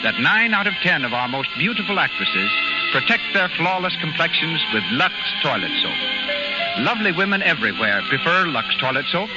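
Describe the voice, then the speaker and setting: A man announcing in polished, emphatic 1930s radio advertising style. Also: a little high. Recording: dull 1930s radio, loud music.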